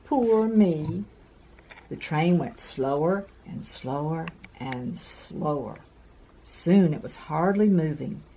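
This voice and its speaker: A woman in her sixties reading a picture book to young children with deliberate, sing-song emphasis. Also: sits low, warm tone, a little hiss or hum. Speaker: children's storyteller, host. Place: carpeted room at home.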